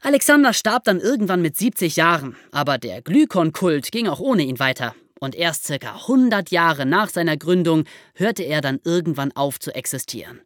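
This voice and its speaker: A woman hosting a podcast, explaining history as lively storytelling in simple, conversational terms. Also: deep voice, normal speed, hardly any pauses, no room sound, studio quality. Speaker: podcast host telling history stories.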